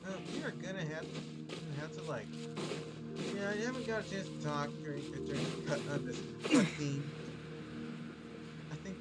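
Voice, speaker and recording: A man giving casual live gameplay commentary, excitable and often repeating himself. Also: a little high, normal speed, long pauses, poor audio. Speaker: game streamer. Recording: loud game music over voice. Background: video game music.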